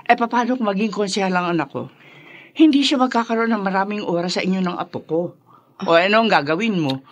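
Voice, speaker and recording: A woman's voice: medium pitch, fairly bright tone, dry recording. An actress playing a proud, domineering, ambitious mother in a Filipino radio drama, preaching and boasting emphatically with a Filipino accent.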